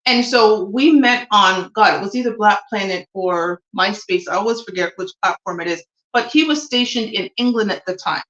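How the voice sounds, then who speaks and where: A woman's voice: mid-range voice, moderate pace, hardly any pauses, a bit bright. Woman in her 40s hosting her own talk stream, straight-talking, lots of ums, dull echoey sound. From Jamaica, raised in Toronto, Canada. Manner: frank, opinionated, with frequent ums. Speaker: show host. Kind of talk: solo opinion livestream talk.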